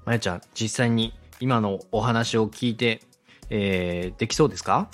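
A man's voice: lowish voice, normal speed. A man hosting a podcast, explaining things politely and conversationally and speaking straight to the listener. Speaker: podcast host and radio director giving advice. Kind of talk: explanatory podcast teaching with acted example questions. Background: background music, faint.

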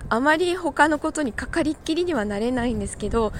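A woman chatting casually on her own like a morning podcast host, talkative and quick to laugh. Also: high-pitched, lively intonation, a little hiss or hum. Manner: chatty, laughs easily while talking. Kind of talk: casual solo morning chat.

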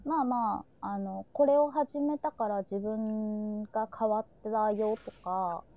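A woman's voice: mid-range voice, fairly even intonation, slow, dark tone. A woman hosting a live streamed talk, chatting casually and reflectively and sharing her feelings openly.

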